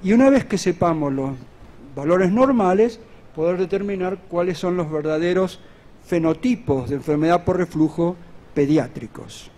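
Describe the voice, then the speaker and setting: A middle-aged man speaks into a stand microphone at a podium in a large conference hall. His voice sits high yet sounds dark and mellow. He lectures in a measured, earnest way, often posing a question and then answering it.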